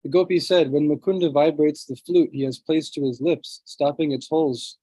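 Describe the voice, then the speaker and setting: A man leading a scripture class over a video call, reading translations aloud and often clearing his throat, with a low voice. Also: fairly even intonation, moderate pace, mellow, dry recording, rough recording. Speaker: class speaker. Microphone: computer mic on a video call.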